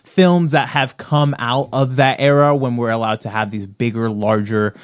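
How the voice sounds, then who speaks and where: A man's voice: medium pitch, steady tone, normal speed. A man co-hosting a podcast, talking casually and conversationally about films. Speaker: podcast co-host. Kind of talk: casual movie discussion chat.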